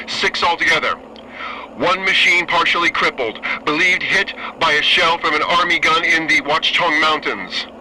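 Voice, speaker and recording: A man's voice: a little high, bright, forceful tone, poor audio. A man playing a military bomber pilot in a radio drama, giving clipped, tense reports. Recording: radio play with aircraft noise.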